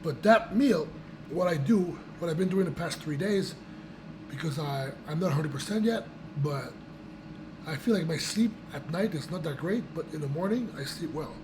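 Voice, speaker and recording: A young man with a voice gone raspy from a cold, chatting casually to his viewers in a rambling way with many restarts and fillers. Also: on the high side, expressive, moderate pace, noisy background. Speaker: vlogger. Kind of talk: casual chat to camera.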